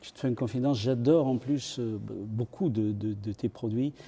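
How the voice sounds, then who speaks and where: A man's voice: medium pitch, moderate pace, warm tone, slightly rough, long reverb tail. A Belgian man hosting a casual interview, asking questions with hesitant restarts. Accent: Belgian French. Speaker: host, interviewer.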